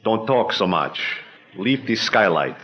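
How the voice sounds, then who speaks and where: An actor playing a cold, scheming bank-robber boss who gives clipped orders in a 1940s-style radio drama. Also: male voice, on the low side, big pitch swings, measured pace, dull audio. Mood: menacing. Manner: curt, commanding, clipped orders.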